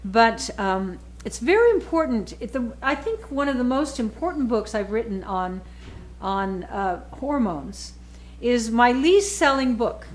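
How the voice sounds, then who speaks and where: An older woman in her sixties talks in a relaxed, conversational way with a fairly high voice. She leans hard on key words and often repeats herself to drive a point home. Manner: emphatic, repeats words, animated. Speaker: author and guest. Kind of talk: casual chat.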